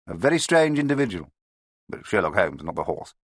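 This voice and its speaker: A man voicing an excitable older male police detective in a storybook reading, with high, strained exclamations. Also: a little fast, slightly rough, dry recording, clean studio sound, exaggerated voice. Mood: blustering. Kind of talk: audiobook character voice.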